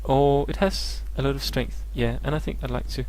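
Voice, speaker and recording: A man's voice: mid-range voice, fairly even intonation, moderate pace, a little mellow, low-quality recording. A young man, a native Danish speaker, teaching maths in a casual live tutorial, hesitant and full of fillers and restarts.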